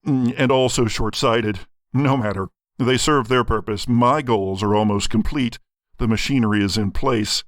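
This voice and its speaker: A man playing a smug, scheming cult villain in a tabletop audio drama, condescending and deliberate. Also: medium pitch, no echo, clean studio sound, close to an everyday voice. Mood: smug, menacing. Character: smug, scheming male cult villain named Lazarus Kane.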